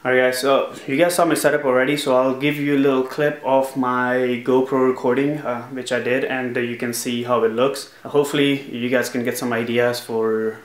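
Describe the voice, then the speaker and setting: A young man talks through his motorcycle helmet setup in a loose, rambling way, peppered with ums and uhs. His pitch stays fairly level and even as he talks. He sounds close to the camera in a small home office. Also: moderate pace, few pauses. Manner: relaxed, rambling with many uh and um fillers. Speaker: motovlogger. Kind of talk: casual vlog, gear walkthrough.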